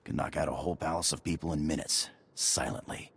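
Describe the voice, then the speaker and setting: An actor playing a paranoid, furtive male spy in a video game, with a gravelly, hushed, clipped delivery. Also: very deep voice, steady tone, a little fast, exaggerated voice. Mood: secretive, urgent. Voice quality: very gravelly, raspy.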